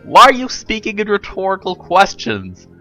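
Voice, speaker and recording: A man's voice: high-pitched, huge pitch swings, normal speed, bright, forceful tone, faint music behind, pitched above the performer's own voice, full character voice. A man voicing a defiant, foul-mouthed pony in an indignant, blunt, emphatic manner for a story reading. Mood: baffled. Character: defiant, foul-mouthed male pony, irritated by pompous arbiters.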